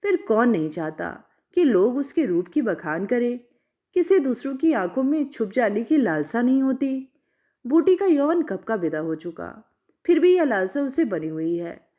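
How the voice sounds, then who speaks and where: A woman storyteller narrating a literary story from a script in an expressive way. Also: a little high, measured pace.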